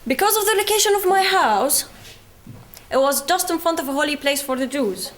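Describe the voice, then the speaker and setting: A woman's voice: extremely high pitch, animated, fairly quick. A young woman in her twenties from the West Bank, with a Palestinian British accent, giving an earnest personal testimony as a guest speaker at a university talk. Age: 20s. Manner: earnest, pleading with the audience. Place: university lecture hall.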